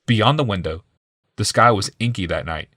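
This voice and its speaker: A man's voice: fairly low pitch, animated, moderate pace, a bit bright, dry recording, studio quality. A man reading a fantasy audiobook as a dramatic scripted narration.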